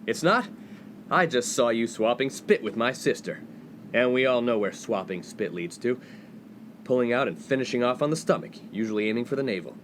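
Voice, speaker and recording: A man's voice: medium pitch, expressive, brisk, a little hiss or hum, close to an everyday voice. A man playing a snobbish, scolding upper-class college student in a 1950s radio comedy. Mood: indignant, scolding. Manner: pompous, scolding. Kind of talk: comedic radio play acting.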